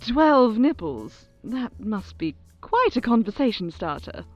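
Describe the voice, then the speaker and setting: A woman's voice: fairly high pitch, big pitch swings, measured pace, highs cut off, faint music behind. A woman playing a regal, self-adoring overlord who hosts her own broadcast in a theatrical, condescending, grandly gracious way in an audio drama. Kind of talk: audio drama acting as a radio show host.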